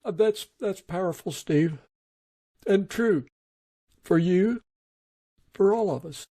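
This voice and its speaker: A man's voice: high voice, takes it slowly, warm tone. A man hosting a podcast interview, speaking in a reflective, affirming and conversational way. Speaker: podcast host.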